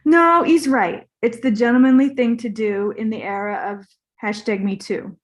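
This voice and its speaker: Woman as a naive fading male movie star, higher-pitched. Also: moderate pace, lightly acted. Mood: earnest, casual. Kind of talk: comedy table read.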